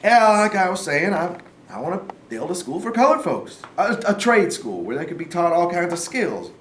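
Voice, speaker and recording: Man acting distant echoey stage reading, folksy bossy Southern patriarch, lively intonation, scheming. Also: high-pitched, moderate pace. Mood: firm, scheming. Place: live staged reading in a room. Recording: roomy, distant mic, faint noise.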